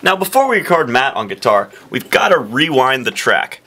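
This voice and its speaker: A young man earnestly presenting a parody software demo, rambling with lots of fillers. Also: mid-range voice, sing-song, moderate pace, a little hiss or hum. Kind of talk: deadpan parody product demo.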